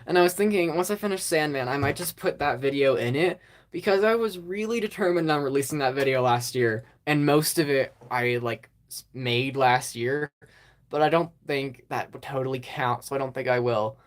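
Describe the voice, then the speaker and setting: Youtuber rambling thru a channel update, lots of ums and little laughs, mid pitch, kinda self-deprecating. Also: normal speed. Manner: rambling and hesitant, many ums, frequent small laughs; self-deprecating about own past work. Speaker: stop-motion channel host.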